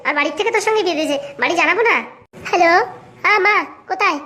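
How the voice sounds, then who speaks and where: Casting: woman as quarrelsome young cartoon wife, very high voice, rapid combative scolding, rural Bengali accent. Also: sharp tone. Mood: scolding, indignant. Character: sharp-tongued, quarrelsome young village wife. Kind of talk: comic cartoon dialogue in village dialect.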